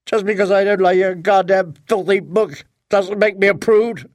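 Shrill crying wife yelling accusations, a guy reading her part, pushed way up high and loud, dry close sound. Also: fairly even intonation, normal speed, dry recording, lightly acted. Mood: furious. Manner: shrill, accusing, near screaming. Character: bitter furious wife, adult woman. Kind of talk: audiobook character voice.

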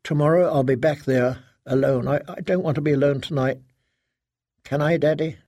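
A man voicing a frightened, fragile grown daughter in an audiobook, halting and stammering as she pleads like a child. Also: a little high, steady tone, warm tone, no echo, clean studio sound. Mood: scared, pleading. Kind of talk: dramatic audiobook character reading.

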